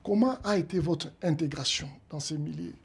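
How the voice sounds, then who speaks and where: A man's voice: a little high, normal speed, creaky. A man hosting an interview show, with formal, emphatic introductions. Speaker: talk show host.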